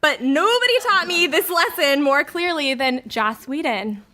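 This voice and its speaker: A woman in her thirties with a high, bright voice performs a comic personal confession. She is candid and wry, delivering it as a storyteller and slipping into the lines she quotes. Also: lively intonation, measured pace.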